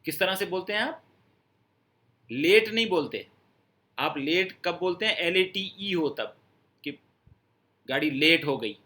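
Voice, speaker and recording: A man teaching in a slow, unhurried manner, pausing often to let points sink in. His voice is bright and a little high for a man. He explains patiently, sliding between Hindi and English phrases. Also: lively intonation. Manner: slow, patient explaining with drawn-out pauses and fillers. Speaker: French teacher. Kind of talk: online language lesson.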